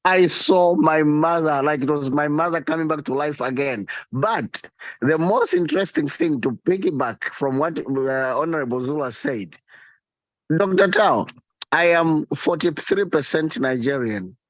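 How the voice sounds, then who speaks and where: A man's voice: on the high side, lively intonation, a little slow, no room sound, highs cut off. A man telling personal stories as a guest, emphatically and with rhetorical questions. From Southern Africa. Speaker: podcast guest.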